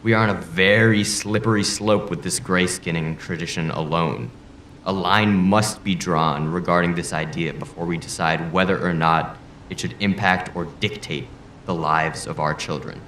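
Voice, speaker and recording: A deep-voiced male politician played by a man argues his case in a formal debate. He is proud, blunt and earnest, laying out each point deliberately. The room echoes around him, with faint noise behind. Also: fairly even intonation, fairly bright tone. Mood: indignant, firm. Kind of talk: audio drama acting, formal debate rhetoric. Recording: roomy echo, faint background noise.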